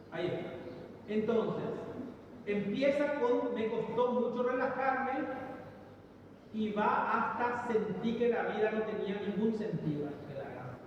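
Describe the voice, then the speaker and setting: A man's voice: sits high, dull audio. A man teaching a statistics class, explaining step by step and questioning his students. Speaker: psychometrics teacher. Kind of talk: classroom lecture. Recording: distant echoey classroom recording with background noise.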